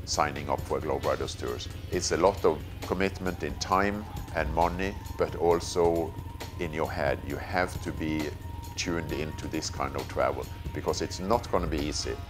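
A man who leads the motorcycle tour, speaking in a documentary interview with measured pauses. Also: rumbling low, over music. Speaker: tour leader.

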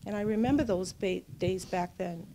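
A woman organizing the event, introducing speakers at a podium slowly and heartfeltly. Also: medium pitch. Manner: heartfelt, slow and halting. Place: large community function room. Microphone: podium microphone. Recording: noticeable background noise in a hall.

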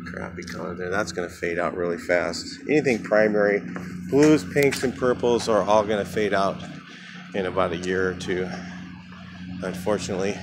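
A man with a fairly low voice gives a rambling, off-the-cuff commentary while walking outside a building, filmed on a handheld camera. Passing traffic and street noise sit clearly behind him. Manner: rambling, thinking aloud, trailing off. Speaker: house painter filming a walkthrough. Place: outdoors beside a large building.

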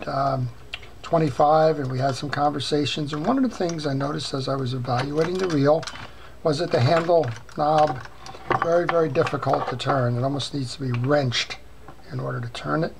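An older man hosting a fishing reel repair channel, talking casually while showing reels on his workbench, with many "uh" pauses. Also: medium pitch. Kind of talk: casual show-and-tell. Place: workbench at home. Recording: clear background noise.

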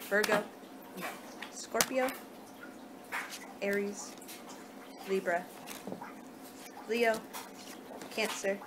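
A young woman speaks slowly in an improvised ASMR roleplay, at a medium pitch. She sounds distracted, often muttering to herself. The amateur recording from a small room at home has clear background noise. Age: young adult. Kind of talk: ASMR roleplay, improvised reading of fortune cards. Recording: noisy amateur webcam-style room recording.

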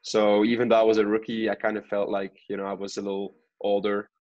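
Man in his twenties, low voice, flat intonation, from Belgium, casual interview answers, hedging phrases. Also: fast talker. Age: 20s. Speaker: professional soccer player, podcast guest. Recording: echoey room, dull lo-fi sound.

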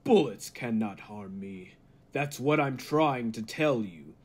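An actor playing a cold, megalomaniac humanlike robot in an old-time radio drama, speaking deliberately and with grandiose menace. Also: male voice, mid-range voice, animated, breathy edge. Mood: menacing. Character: cold, megalomaniac humanlike male robot bent on world mastery.

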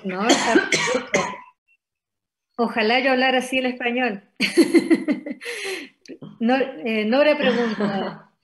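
Casting note: woman host from Chile, slightly high voice, brisk and encouraging on a video call. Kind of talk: moderating a Q&A.

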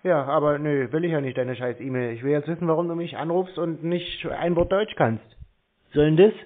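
A man's voice: a little high, fairly quick. A man who banters on the phone in a brusque, sarcastic, mocking way, needling the caller. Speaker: scambaiting host taking scam calls. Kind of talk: teasing, confrontational phone banter. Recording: tinny, band-limited phone line.

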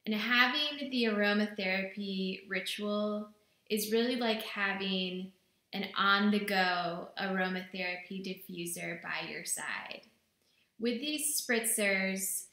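A woman in her thirties talks to a camera from a small bare room, her tone bright and clear. She speaks slowly and gently, with easy enthusiasm. The room gives her voice a noticeable echo. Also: medium pitch. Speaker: brand representative presenting products. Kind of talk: product presentation to camera. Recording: echoey room.

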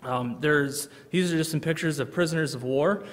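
Missionary guy at a church pulpit, kinda high voice, lots of ums, big echoey sanctuary. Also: normal speed. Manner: earnest, frequent ums and uhs. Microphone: pulpit microphone, far camera. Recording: long reverb of a church hall.

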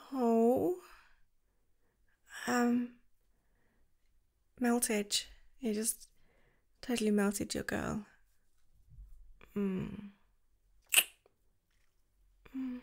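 A young woman doing a girlfriend roleplay to the listener, soft and affectionate, giggling and slipping into whispers. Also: mid-range voice. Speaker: ASMR roleplay creator.

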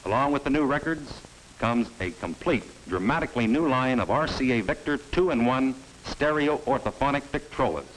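A man's voice: a bit bright, a little hiss or hum, poor audio. Emphatic middle-aged male film presenter. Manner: measured, emphatic sales pitch. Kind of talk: scripted promotional narration. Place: studio set. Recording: old film soundtrack with faint music.

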